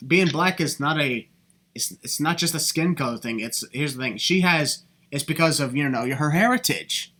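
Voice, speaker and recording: A man's voice: on the high side, animated, normal speed, sharp tone. A young man hosting a casual podcast discussion, talking emphatically and circling back to the same phrase to hold the floor. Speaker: podcast host.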